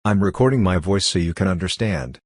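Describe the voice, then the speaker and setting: Low, husky man presenting a tutorial. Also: animated, fairly quick, no echo. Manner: instructional, non-native English phrasing. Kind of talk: step-by-step tutorial narration.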